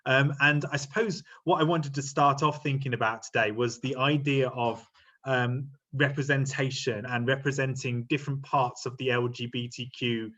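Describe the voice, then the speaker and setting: A man's voice: medium pitch, fairly even intonation, normal speed, bright, forceful tone. A middle-aged man, a university lecturer, hosting a panel on a video call in a friendly, hesitant way with many fillers. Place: home room. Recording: slightly muffled video call audio.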